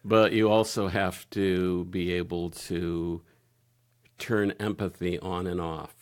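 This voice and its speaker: A man speaking candidly as a podcast guest, halting and searching for his words. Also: deep voice, fairly even intonation. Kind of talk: candid personal conversation.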